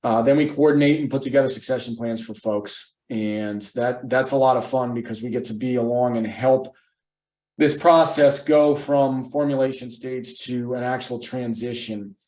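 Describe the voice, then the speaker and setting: A man's voice: medium pitch, fairly even intonation, moderate pace, a little mellow, roomy sound. A man presenting a webinar as a financial advisor, speaking conversationally with frequent restarts.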